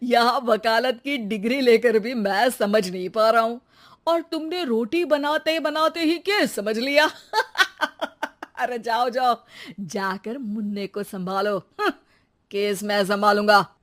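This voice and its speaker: A woman's voice: fairly high pitch, slow. A woman voicing an arrogant, mocking young husband in a moral story, with a scornful, bossy manner. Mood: mocking, amused. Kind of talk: acted moral-story dialogue.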